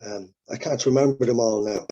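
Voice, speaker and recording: Husky Irish man on a dull call line. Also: medium pitch, steady tone, brisk, dark tone. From Ireland. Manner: rambling, reflective, many restarts. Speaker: musician guest. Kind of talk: casual interview talk.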